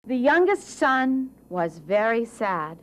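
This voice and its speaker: A woman narrating a children's story in a deliberate, expressive way with dramatic phrasing. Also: on the high side, rough recording.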